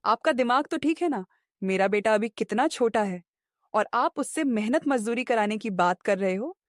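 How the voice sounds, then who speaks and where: A devout, worried village wife and mother, acted by a woman in a cartoon moral tale. Her voice sits fairly high and sounds very dry, with earnest, pleading reproach. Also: animated, normal speed, no room sound, lightly acted. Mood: protective, firm. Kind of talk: Hindi moral cartoon story acting.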